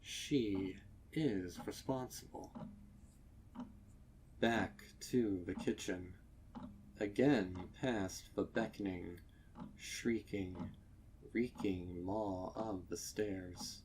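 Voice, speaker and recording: A man reading a scripted horror story as narrator, with deliberate, dramatic delivery. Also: sits low, darkish tone, slight background noise. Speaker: narrator of fan fiction readings.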